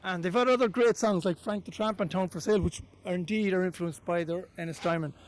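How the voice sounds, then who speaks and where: A male storyteller with a west of Ireland accent talks informally, as a guide on a literary tour would. His voice sits on the high side for a man. The sound is noticeably roomy, with clear echo around the words. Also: quick pace. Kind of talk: informal guided-tour talk with readings aloud.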